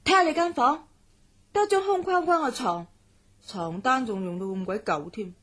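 Outspoken loyal young friend, highish voice, expressive, radio drama. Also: female voice, normal speed. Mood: indignant. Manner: expressive, exclamatory. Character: outspoken, indignant, loyal young student woman, best friend.

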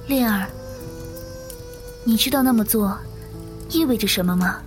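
A woman playing a gentle, caring young disciple in a Chinese martial-arts audio drama. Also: a little high, a little slow, music in the background, close to an everyday voice. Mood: hesitant. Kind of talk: Chinese period radio drama acting.

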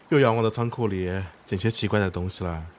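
Man as a low-voiced, indulgent spirit keeper. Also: slight background noise, natural voice. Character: gentle, indulgent male storehouse keeper, mildly amused. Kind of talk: fantasy audio drama acting.

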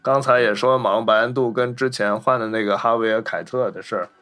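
A man's voice: medium pitch, fairly even intonation, fast, fairly bright tone. A man, talking casually about films as a podcast host. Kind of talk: casual film discussion.

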